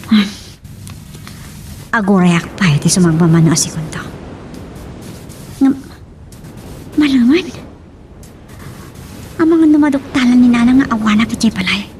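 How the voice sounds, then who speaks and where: A woman acts out a scheming matriarch in an Ilocano-accented radio drama. She sounds domineering and set back from the mic, with clearly noisy ambience around her. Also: mid-range voice, far from the mic, natural voice.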